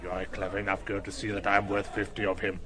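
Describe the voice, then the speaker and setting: An actor playing a boastful, lecherous middle-aged hotelier who blusters and wheedles, in a radio drama. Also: male voice, low voice, little pitch movement, quick pace, bright, forceful tone. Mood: blustering.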